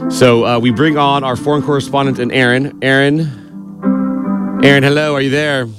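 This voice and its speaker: A young man hosting a community radio show, talking loudly and boisterously in casual sports chat. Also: medium pitch, level tone, moderate pace, loud music behind the voice. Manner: loud, boisterous, says he has a big voice. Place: radio studio.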